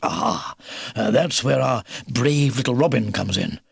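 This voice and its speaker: A man voicing a kindly, excitable old grandfather beaver with a hearty, old-fashioned military manner, in a storybook reading. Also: medium pitch, a little slow, vocal fry, breathy edge, dry recording, clean studio sound, full character voice. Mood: brisk, rueful. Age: older.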